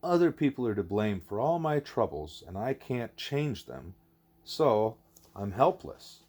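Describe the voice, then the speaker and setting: Man voicing a deliberate, low-voiced wise teacher. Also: sing-song, a little mellow, exaggerated voice. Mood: patient, instructive. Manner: deliberate, explanatory, formal phrasing. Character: wise, patient teacher creature Ishmael, male, measured and didactic.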